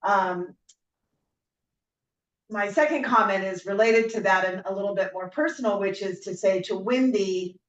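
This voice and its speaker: A woman giving thoughtful, informal remarks on a group call, in a halting, reflective way. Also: medium pitch. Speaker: supporter commenting on a donor call. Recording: roomy, distant, muffled, faintly noisy call audio.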